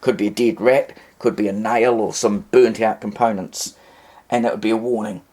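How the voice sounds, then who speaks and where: A man's voice: lowish voice, fairly even intonation, moderate pace, warm tone. Rambling New man demonstrating gear. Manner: rambling, hesitant. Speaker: vintage radio hobbyist presenter. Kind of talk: casual demonstration commentary.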